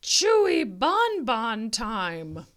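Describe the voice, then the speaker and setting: A woman's voice: fairly high pitch, wildly swooping pitch, very slow, a bit bright, exaggerated voice. A woman voicing a hungry, gloating dinosaur from a storybook, speaking emphatically as it schemes about a feast. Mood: yelling.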